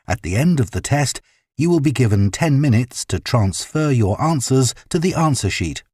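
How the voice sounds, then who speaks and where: A man reading scripted test instructions as an exam announcer, formal and deliberate. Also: medium pitch, animated, a little mellow, breathy edge, dry recording, clean studio sound.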